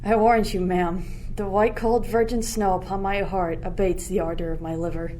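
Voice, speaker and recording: A woman's voice: mid-range voice, fairly even intonation, normal speed, dark tone, a little hiss or hum, lightly acted. A woman playing an earnest, chaste young royal lover in an amateur Shakespeare radio play. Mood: earnest, devoted.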